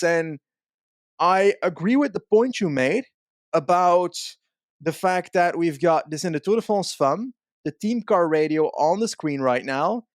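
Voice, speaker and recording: A man with a somewhat high-pitched voice speaks as a podcast co-host. He explains things in a casual, analytical way, building up points with examples. The recording is dry and close. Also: dry recording.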